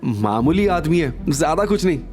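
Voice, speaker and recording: A man's voice: on the high side, sing-song, normal speed, darkish tone, faint music behind, pitched above the performer's own voice. A man voicing a spoiled, vengeful young nephew with a sly, hesitant way of talking in an audio drama. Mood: pleading.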